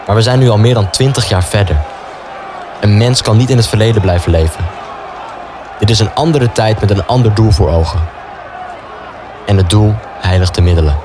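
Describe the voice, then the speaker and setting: A man acting an earnest, low-voiced young student. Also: moderate pace, long pauses, a crowd in the background, noisy background, natural voice. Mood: self-convinced. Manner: earnest, self-justifying. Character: stubborn, idealistic young male student drawn to fascism. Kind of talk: radio play dialogue and inner monologue.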